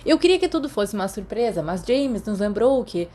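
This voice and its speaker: Woman voicing an excitable, brash teenage schoolboy, pitched up. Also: normal speed. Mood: excited, impatient. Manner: eager, insistent. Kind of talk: audiobook character dialogue.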